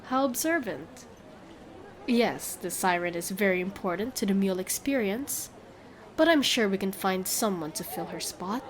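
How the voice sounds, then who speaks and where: A woman's voice: mid-range voice, animated, normal speed, lightly acted. Woman playing a glib, smug fantasy merchant woman. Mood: smug, wheedling. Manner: glib, theatrical sales patter. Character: smug, haggling female merchant selling a mermaid. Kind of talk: scripted fantasy audio roleplay.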